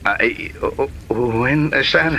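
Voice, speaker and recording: A man's voice: medium pitch, unhurried, bright, forceful tone, a little hiss or hum. A man playing a young mechanic and suitor in a comedy drama, by turns boastful and awkwardly deferential. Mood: nervous, respectful. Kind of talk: Ilocano comedy drama acting.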